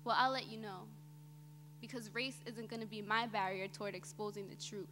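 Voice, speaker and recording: A young woman performing a theatrical spoken-word monologue on stage, with deliberate, dramatic phrasing that swells emphatically and drops quiet. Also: medium pitch, steady tone. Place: indoor auditorium stage before an audience. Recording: reverberant hall, faint background noise.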